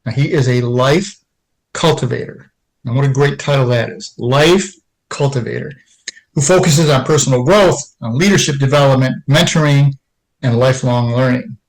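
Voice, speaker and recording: A man's voice: mid-range voice, moderate pace, rough recording. A man hosting a podcast, reading an introduction and asking questions in an upbeat, encouraging way. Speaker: podcast host. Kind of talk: scripted introduction and interview.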